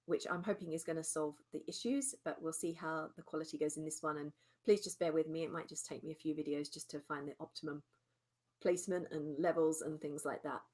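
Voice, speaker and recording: A woman's voice: low-pitched, fairly even intonation, brisk, a little mellow. A woman from England chatting casually to her webcam in a home vlog, rambling a little with lots of ums. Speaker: vlogger. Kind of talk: casual chat. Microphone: desktop mic with webcam.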